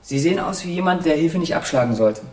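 A man's voice: fairly high pitch, lively intonation, fast. A young man playing a caring, earnest former pupil in a radio crime drama, speaking politely and tentatively. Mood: concerned.

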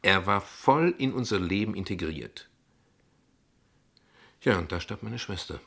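An actor playing a guarded, ironic father in a radio play, speaking in measured, eloquent, formal sentences. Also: low voice, lively intonation, vocal fry, fairly bright tone, exaggerated voice. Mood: explaining, measured. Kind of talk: radio play dialogue acting.

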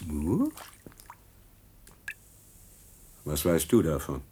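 An actor playing a cynical, gloating murderous scientist with a mocking, taunting delivery in a German radio play. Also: male voice, rumbling low, wildly swooping pitch, a little slow, mellow, slightly rough, heavily acted. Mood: suspicious.

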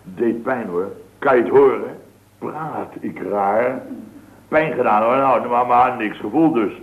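A man's voice: mid-range voice, unhurried, lightly acted. Actor as fussy, aggrieved male company boss, vintage radio comedy, stumbling repetitive delivery, tinny echoey sound. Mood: self-pitying. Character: blustering, self-pitying male building-firm boss. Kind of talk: 1970s radio comedy acting.

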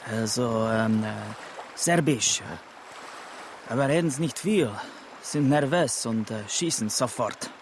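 An actor playing a laconic Czech village police chief nearing retirement, speaking halting, broken German with a Czech accent and searching for words. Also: male voice, medium pitch, a little hiss or hum, natural voice. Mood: resigned, matter-of-fact. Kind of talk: naturalistic radio drama acting.

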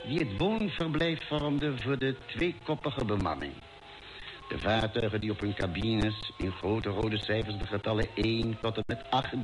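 A man playing the formal, measured narrator of a space adventure radio drama. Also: mid-range voice, low-quality recording. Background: music.

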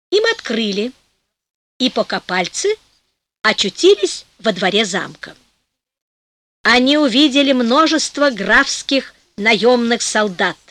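Higher-voiced woman reading a fairy tale. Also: no echo. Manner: lively storytelling, slows for the rhyme. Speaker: audiobook narrator. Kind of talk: scripted fairy-tale narration.

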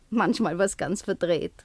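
A woman's voice: on the low side, slow pace, breathy edge, no room sound, full character voice. An actress playing a teasing, evasive woman who meets a former lover in a radio play, speaking in a coquettish, lightly mocking way. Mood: coaxing, mocking. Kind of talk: German radio play acting.

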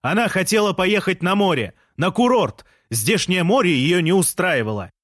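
A man voicing an aggrieved, divorced shopkeeper in an audiobook, complaining loudly about his ex-wife. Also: high-pitched, expressive, moderate pace, no echo, clean studio sound, pitched above the performer's own voice.

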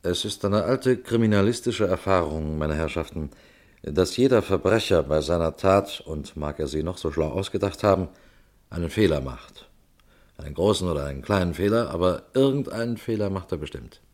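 A measured, deep-voiced detective speaks straight to his listeners in an old radio crime play. He explains crimes patiently and steadily, with a low and calm voice. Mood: explaining. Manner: measured, addresses audience directly. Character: calm middle-aged male police detective. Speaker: narrator of the case. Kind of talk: 1960s radio crime drama.

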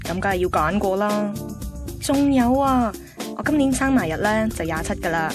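A woman's voice: medium pitch, lively intonation, normal speed, music in the background, close to an everyday voice. A woman with a Hong Kong Cantonese accent playing a picky, chatty host in her twenties, narrating a radio drama conversationally. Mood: playful, confiding. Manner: cheeky, self-assured, conversational.

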